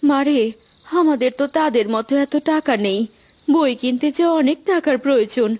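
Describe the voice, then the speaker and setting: Woman playing a high-voiced gentle village mother. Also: normal speed, close to an everyday voice. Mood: gentle, resigned. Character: gentle, careworn poor village mother and wife. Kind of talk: children's cartoon voice acting.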